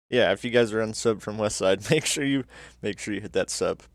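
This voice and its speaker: Casting: young male streamer, relaxed, cursing, low voice, dry mic. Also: no echo. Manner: laid-back, swears casually. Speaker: livestream host. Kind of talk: casual livestream chat reacting to videos.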